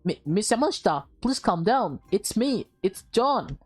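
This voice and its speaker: A woman acts out a nervous young man from a visual novel game. The voice is halting, earnest and apologetic, over game music. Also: medium pitch, sing-song, slightly rough, voice pushed up. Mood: pleading. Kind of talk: visual novel read aloud in character.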